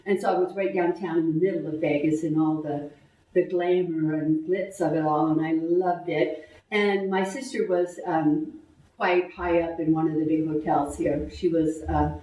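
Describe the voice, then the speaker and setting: A woman's voice: sits low, steady tone, moderate pace, mellow. An older woman from Texas, a guest speaker and author, telling her life story in a casual interview. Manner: chatty storytelling with easy laughs. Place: live-stream interview set. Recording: echoey room, mic far off.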